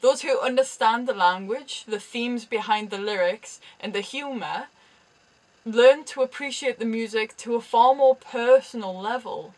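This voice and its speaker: Young woman, Geordie accent, student vlogger, chatty explainer, dry wit, fairly high voice. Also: unhurried, poor audio. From Newcastle, England. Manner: chatty, with dry humorous asides. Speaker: university student presenting research. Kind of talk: explanatory vlog narration.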